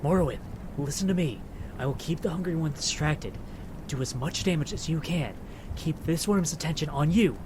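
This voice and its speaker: A man's voice: fairly high pitch, animated, moderate pace, noisy background, close to an everyday voice. A man playing a learned, watchful fey adventurer who speaks in grave, terse, formal commands in a fantasy horror audio drama. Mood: urgent command.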